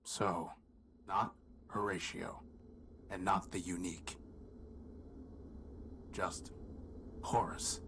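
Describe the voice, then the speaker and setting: Deep, weary, solemn robot loner. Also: male voice, steady tone, drawn-out words, vocal fry, pauses often, exaggerated voice. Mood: bitter, resigned. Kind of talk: video game voice acting. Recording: loud background noise.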